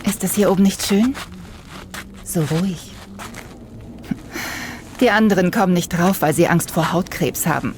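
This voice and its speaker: A woman's voice: on the low side, measured pace, pauses often, a little mellow, natural voice. Actress as assertive young revolutionary woman, noisy backdrop. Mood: breezy, friendly. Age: young adult. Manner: assertive, declamatory, talkative. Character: Mira, young female urban guerrilla, bossy, fervent, chatty revolutionary. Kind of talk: German sci-fi radio drama dialogue.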